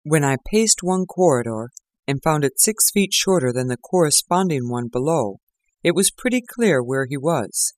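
A man voicing a calm, assured gentleman detective with a dryly amused manner. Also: on the high side, moderate pace, dry recording, studio quality, lightly acted.

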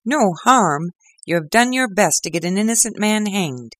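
A man voicing a gruff, excitable male police inspector in an audiobook reading, loud and blustering. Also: moderate pace, no room sound, studio quality, close to an everyday voice. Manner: loud, blustering, high-pitched.

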